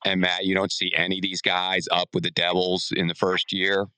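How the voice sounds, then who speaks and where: A man with a deep, very clear voice hosts a casual sports chat. His tone is bright and he prompts his guest conversationally. The sound is close and dry. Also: completely flat delivery, normal speed, no room sound. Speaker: podcast host.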